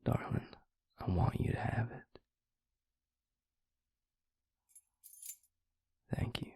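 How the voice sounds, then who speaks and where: A man playing a weary, grieving vampire lover, tender and gruff, in an intimate audio roleplay with a husky, gravelly murmur. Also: a little mellow, no room sound, in a whisper, studio quality, natural voice. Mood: soft, tender. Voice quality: husky, breathy, gravelly low voice. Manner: slow, halting, murmured, with sighs.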